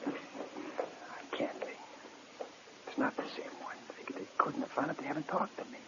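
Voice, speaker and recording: An anxious, guilty convict in an old radio crime play. His low, creaky voice presses urgently with pleading questions, on a muffled, noisy vintage recording. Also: expressive, fairly quick. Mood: desperate, pleading. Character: anxious, guilty male jail prisoner. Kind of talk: 1950s radio drama acting.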